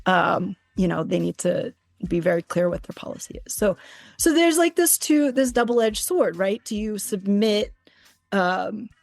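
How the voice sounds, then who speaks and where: A middle-aged woman speaking as an interview guest about academic publishing, animated and emphatic with occasional restarts. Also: mid-range voice, gravelly. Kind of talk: casual interview talk.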